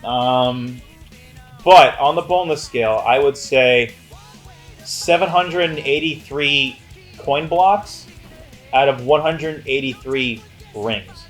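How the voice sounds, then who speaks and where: A man's voice: mid-range voice, slow, soft background music. A man co-hosting a casual movie review, emphatic and opinionated as he banters. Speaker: movie review show co-host.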